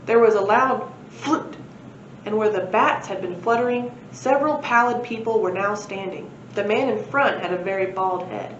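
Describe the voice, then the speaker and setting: A woman's voice: medium pitch, moderate pace. A woman reading a children's story aloud as a library presenter, expressively, with casual asides. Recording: roomy, muffled, faint background noise.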